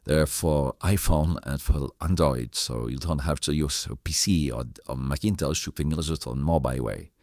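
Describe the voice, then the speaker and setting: A man with a non-native English accent hosting an interview chat, halting and stammering, often repeating words. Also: a bass voice, darkish tone, no echo, clean studio sound. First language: not English. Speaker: host.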